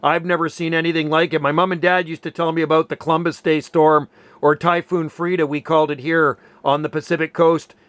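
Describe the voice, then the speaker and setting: An enthusiastic man in his sixties, chatting casually on the radio and tossing in "man" again and again. His voice is smooth and clear, a little high for a man, and sounds dry and close. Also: level tone, brisk, a bit bright, no echo. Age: 60s. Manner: enthusiastic, says "man" often. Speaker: ham radio operator, channel host. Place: home on a Pacific Northwest island.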